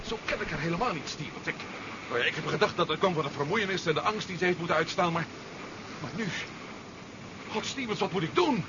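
A man's voice: fairly high pitch, expressive, quick pace, natural voice. A male actor playing a troubled, doubting civilian confidant who searches for words in a science fiction radio play. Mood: troubled, bewildered. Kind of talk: 1970s science fiction radio drama acting. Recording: dull, noisy 1970s radio drama recording.

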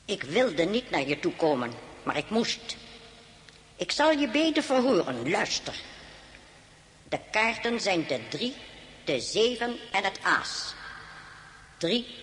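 Out of a long, noisy reverb comes the ghost of an old countess. She is solemn and commanding, with a lively, swooping delivery in radio drama style. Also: mid-range voice, slow, pauses often. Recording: long cavernous reverb with noise.

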